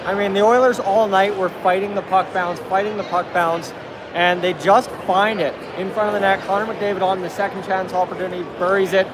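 Male sports fan, 30s, high voice, post-game commentary, noisy arena phone recording. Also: steady tone, brisk. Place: busy arena entrance concourse at night. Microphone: phone at arm's length. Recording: loud urban crowd and traffic noise.

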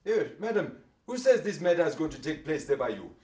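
An actor playing an impatient, sceptical South African police operator in a stage comedy. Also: male voice, on the high side, very animated, fairly quick, darkish tone, a little hiss or hum. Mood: impatient, dismissive. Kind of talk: theatrical cabaret comedy acting. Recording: echoey stage, distant mic.

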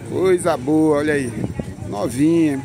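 A man's voice: fairly high pitch, takes it slowly. A man reporting casually from an outdoor cattle fair, upbeat and full of repeated catchphrases. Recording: loud outdoor noise.

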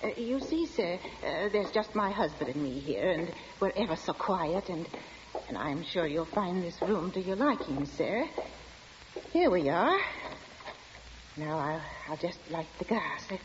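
A woman's voice: on the low side, lively intonation, a little slow. An actress in a 1940s radio drama playing an anxious, deferential landlady with a polite, stammering manner. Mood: flustered, eager. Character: flustered, deferential married landlady eager to please. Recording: muffled, hissy 1940 radio recording.

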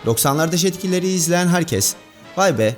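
A man's voice: on the high side, lively intonation, moderate pace. A man hosting and narrating a scripted countdown video. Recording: music bed under voice. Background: background music.

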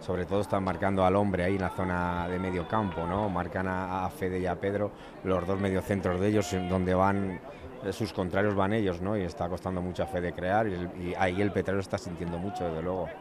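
A man's voice: sits low, little pitch movement, fairly quick. A man giving conversational match analysis as a co-commentator. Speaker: football co-commentator. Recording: outdoor stadium crowd noise behind. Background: crowd noise.

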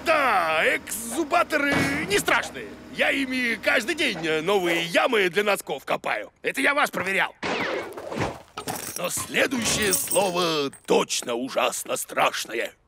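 A high, swooping cartoon creature, pompous and boastful with a cowardly streak. The voice crackles with gravel as it lectures like a self-important teacher, with music behind it. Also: male voice, big pitch swings, a little slow, heavily acted. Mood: blustering. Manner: pompous, blustering, theatrical. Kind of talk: children's cartoon acting.

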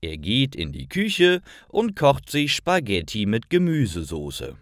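A man reading a scripted story for language learners in a deliberate, expressive storytelling manner. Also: mid-range voice, no echo, studio quality. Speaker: narrator of stories for German learners. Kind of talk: scripted narration, slow read-aloud story for learners.

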